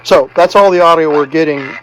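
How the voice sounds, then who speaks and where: Male hobbyist host, slightly high voice, plainspoken, walks viewers through technical steps casually. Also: brisk, background noise. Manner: plainspoken, talks through each step. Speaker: host, electronics repair hobbyist. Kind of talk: hands-on demonstration and explanation, casual.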